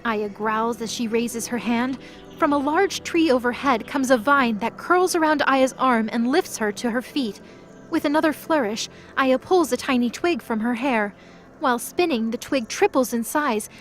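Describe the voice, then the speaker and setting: A woman's voice: high-pitched, lively intonation, normal speed. A woman reading scripted present-tense narration for an audio drama.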